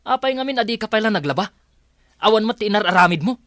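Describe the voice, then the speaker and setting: A man with an Ilocano accent playing a gruff, entitled, bossy man of the house in a radio drama. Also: high voice, lively intonation, quick pace, a bit bright, dry recording, studio quality. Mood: irritated.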